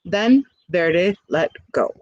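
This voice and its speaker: A woman reading a picture book aloud expressively, pausing to chat to young listeners with questions and asides. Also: on the low side, animated, a little mellow, no room sound, highs cut off. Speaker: storybook reader.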